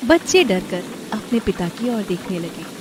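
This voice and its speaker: A woman's voice: mid-range voice, very animated, normal speed, noisy background. A woman narrator reading a scripted moral story. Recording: faint music behind the voice.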